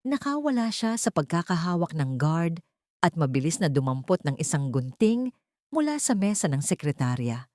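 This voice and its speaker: A woman reading a dramatic audiobook story, voicing every character herself in one steady storytelling voice. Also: deep voice, normal speed, no echo, clean studio sound. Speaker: audiobook narrator. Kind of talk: scripted dramatic narration.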